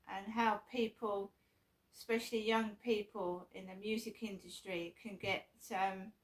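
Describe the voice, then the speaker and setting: Slow, halting woman with a sore throat. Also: medium pitch, low-quality recording. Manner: halting and rambling, many ums and restarts. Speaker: vlogger. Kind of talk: casual talk to camera.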